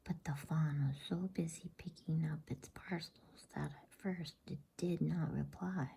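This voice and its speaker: A woman softly whispering a bedtime story in ASMR style, breathy, with a halting delivery. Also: sits low, fairly even intonation.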